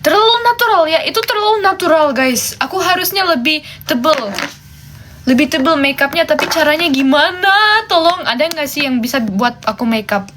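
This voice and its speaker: A woman's voice: sits high, animated, moderate pace, a bit bright, slight background noise. A woman from Germany, chatting casually and talkatively to viewers as a livestream host. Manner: chatty, switches between languages. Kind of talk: casual chat with viewers while doing makeup.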